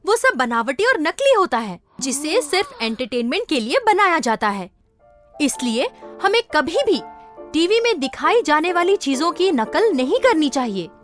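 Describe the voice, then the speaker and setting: A woman's voice: high voice, big pitch swings, normal speed, a bit bright. A woman voicing a firm female schoolteacher who explains patiently and didactically in a children's cartoon. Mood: patient, admonishing. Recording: music behind the voice.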